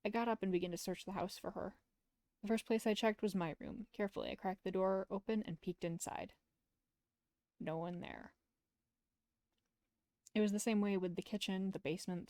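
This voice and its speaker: A woman's voice: lowish voice, a little mellow, dry recording. A woman streamer reading visual novel text aloud as narration, with casual asides, close to the mic.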